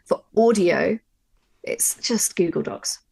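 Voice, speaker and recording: A woman's voice: lowish voice, lively intonation, slow, creaky, a little mellow, breathy edge, no room sound. A woman teaching a workshop in a conversational, informal way, speaking emphatically and insistently. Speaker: audio drama writer and workshop presenter.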